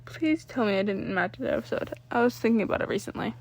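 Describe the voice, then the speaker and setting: Expressive, high-voiced woman reading a story. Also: fast talker. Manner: informal, stumbles over words and rereads. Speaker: amateur fan fiction reader. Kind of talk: expressive audiobook narration.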